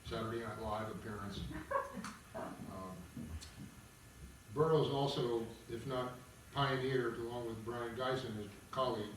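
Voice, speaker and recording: A man informally introducing and narrating a live table read, explaining as he goes with some halting. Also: mid-range voice, fairly even intonation, a little hiss or hum. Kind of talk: live introduction and reading of stage directions. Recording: echoey room, far mic, guitar behind. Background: guitar music.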